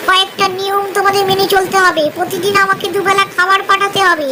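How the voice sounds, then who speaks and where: A woman's voice: extremely high pitch, level tone, fast, pitched above the performer's own voice. A woman voicing a sly, greedy, boastful jackal in a children's cartoon, with pompous delivery and a howling catch-cry. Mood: pompous, commanding. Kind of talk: children's cartoon story acting. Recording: clearly noisy background.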